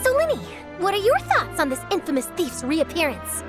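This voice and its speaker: An eager young reporter chases her next scoop in a high, bubbly, dramatic voice. Her pitch swings widely as she works herself up. Game music plays clearly behind her. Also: sing-song, fairly quick. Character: eager young woman journalist chasing scoops.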